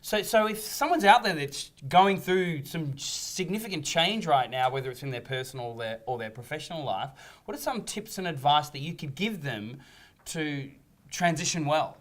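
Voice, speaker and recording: A man's voice: a little high, moderate pace, a bit bright. A man hosting an interview show, eager and often stumbling over the first words of his questions. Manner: eager, repeats words when starting questions. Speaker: host.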